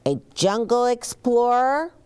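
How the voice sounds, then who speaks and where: Woman voicing a high-pitched, curious little child. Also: animated, slow pace, darkish tone, clean tone. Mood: curious, wondering. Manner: questioning, earnest, childlike. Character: curious, anxious young twin children, boy and girl.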